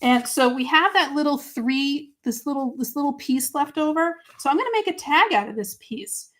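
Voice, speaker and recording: Upbeat Boston woman hosting a live papercraft stream, gushing and chatty, voice a touch high. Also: expressive, normal speed, a bit bright. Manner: bubbly, gushing, talks to viewers by name. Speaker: craft show host. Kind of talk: live crafting demo, chatty.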